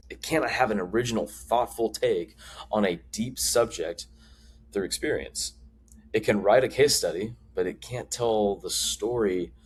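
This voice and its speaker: A man presenting a scripted explainer monologue as a marketing advisor, with emphatic, deliberate delivery. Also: on the low side, darkish tone, slightly rough.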